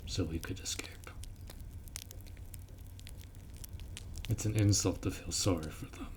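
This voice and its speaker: A man playing a gruff, dutiful orc warrior in a fantasy roleplay audio drama, blunt and protective as he speaks to the listener. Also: low-pitched, a little mellow, a little hiss or hum. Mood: solemn, reassuring.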